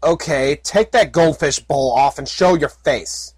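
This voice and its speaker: Reader voicing a gruff, commanding stallion guard for an audiobook; dry, close sound. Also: mid-range voice, unhurried, darkish tone, no room sound. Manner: barking, commanding. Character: stern male guard pony with a rifle.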